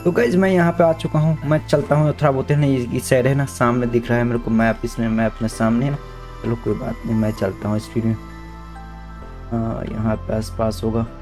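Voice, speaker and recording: A young man with a sore throat giving chatty, slangy let's-play commentary, now and then shouting at the game characters. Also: medium pitch, little pitch movement, a little fast, faint music behind. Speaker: gaming YouTuber.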